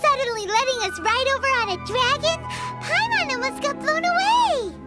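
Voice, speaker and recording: Tiny fairy girl, extremely high, animated, chirpy, childlike, game dialogue over loud music. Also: moderate pace. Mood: excited. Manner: squeaky, exclamatory, childlike. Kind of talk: video game character acting.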